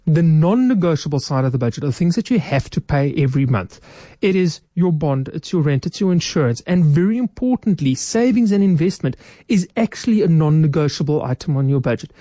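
A woman's voice: deep voice, lively intonation, fairly quick, dark tone, dry recording. A woman, a financial adviser guest in a radio studio, explaining retirement saving step by step in a practical way. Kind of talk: radio studio advice talk.

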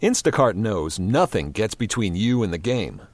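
A man reading a scripted radio advertisement in an upbeat, smooth manner. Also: fairly low pitch, sing-song, normal speed, a little mellow. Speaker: ad announcer. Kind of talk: scripted ad read.

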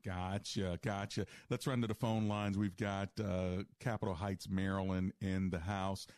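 A man hosts a radio call-in program, friendly and brisk, taking calls and prompting his guest. His voice is on the low side. The studio sound is dry and close. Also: flat delivery, darkish tone, no echo. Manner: friendly and brisk, with short affirming murmurs. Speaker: radio talk show host. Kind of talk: radio call-in show hosting.

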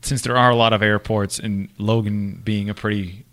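Podcast co-host, male; fairly low pitch, easygoing and agreeable, often restarts his sentences. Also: little pitch movement, moderate pace, a bit bright. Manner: easygoing, lots of short agreements, hesitant restarts. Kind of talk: casual chat.

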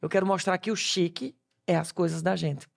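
A man's voice: sits high, steady tone, a little fast, gravelly. A man in his twenties from Ceará with a Cearense accent, telling stories as a guest in a casual chat. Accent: Cearense Brazilian Portuguese. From Ceará, Brazil. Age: 20s. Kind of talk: casual conversation.

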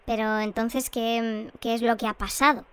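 Female radio host shrunk to half size, played by an actress: high, small voice, courteous, bemused, hesitant when puzzled, close dry sound. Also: level tone, moderate pace, fairly bright tone, clear, resonant tone, dry recording, lightly acted. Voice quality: small, squeaky little voice. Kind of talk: Spanish audio fiction radio phone-in.

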